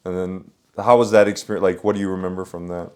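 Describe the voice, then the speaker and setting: A man's voice: sits low, little pitch movement, fast talker, dark tone. A man hosting a casual conversation, agreeing often and chiming in to finish the guest's thoughts. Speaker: host, interviewer. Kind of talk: casual chat.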